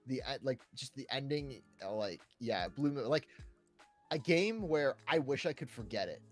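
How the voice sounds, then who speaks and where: A man's voice: medium pitch, expressive, moderate pace. A man co-hosting a podcast, chatting casually and enthusiastically about favourite games, often saying "like" and "right". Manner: enthusiastic, peppers talk with "right" and "like". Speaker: podcast co-host. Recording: background music under the talk. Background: background music.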